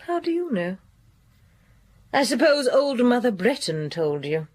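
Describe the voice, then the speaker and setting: Catty young society girl, mocking gossip, very lively up n down delivery. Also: fairly high pitch, a little slow. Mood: scornful. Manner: gossipy, sneering, swooping. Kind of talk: period radio drama acting.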